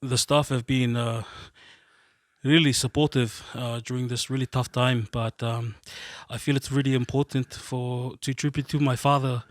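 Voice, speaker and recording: A man acts out a grieving sports captain facing reporters. He is sombre and sincere, choosing words haltingly, with a mid-pitched voice recorded dry. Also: flat delivery, dry recording, natural voice. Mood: sombre, earnest. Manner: halting, earnest, measured. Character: grieving South African rugby captain at press conference, earnest.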